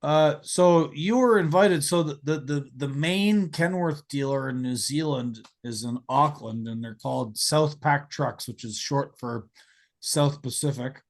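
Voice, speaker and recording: Gravelly-voiced American man telling stories. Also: on the high side, a little slow. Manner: animated, swears freely, repeats words while telling stories. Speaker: podcast host. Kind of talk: casual storytelling chat.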